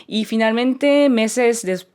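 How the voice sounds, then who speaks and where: A French singer with a French accent, answering in a radio interview, lively and searching for words with many restarts. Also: female voice, on the high side, slow, clean tone. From France, French Alps.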